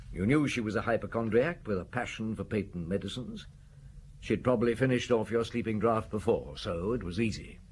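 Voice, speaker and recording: An actor playing a blunt, probing middle-aged police inspector in a radio drama. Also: male voice, fairly low pitch, slight background noise, close to an everyday voice.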